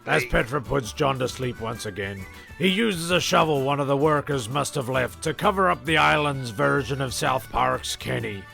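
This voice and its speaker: A man playing a wry storyteller, reading scripted comic narration in a deadpan manner. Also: medium pitch, moderate pace, creaky, soft background music.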